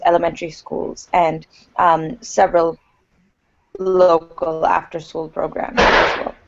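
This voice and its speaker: A woman's voice: fairly low pitch, vocal fry, muffled recording. A young woman from Pakistan, a student, introducing herself cheerfully on a video call. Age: young adult. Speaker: student panelist. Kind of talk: casual self-introduction.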